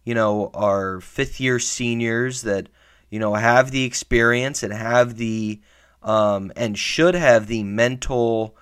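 A man's voice: mid-range voice, a bit bright. A man hosting a solo sports podcast, fighting the start of a cold and rambling through a frustrated game recap. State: early cold, slightly hoarse. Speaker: podcast host.

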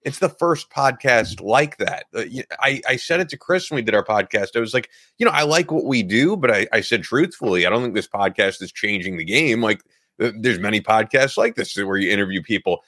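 A man's voice: on the low side, expressive, a little fast, pushed and bright, dry recording, studio quality. A man co-hosting a podcast in casual chat, talkative and repeating himself for emphasis. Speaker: podcast co-host.

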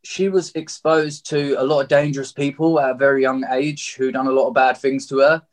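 A man's voice: mid-range voice, steady tone, a little fast. Reflective young man from southeast England. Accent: southeast English. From Margate, England. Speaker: interview guest. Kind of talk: candid personal storytelling.

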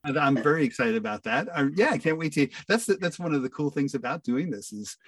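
Older man, interview guest, casual chat, halting, appreciative, dry room, off-mic distance. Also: on the high side, expressive, fairly bright tone, dry recording.

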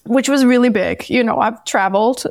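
A woman from Denmark, a podcast guest telling her story in a candid, animated way. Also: fairly high pitch, normal speed, dry recording, studio quality. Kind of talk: casual interview conversation.

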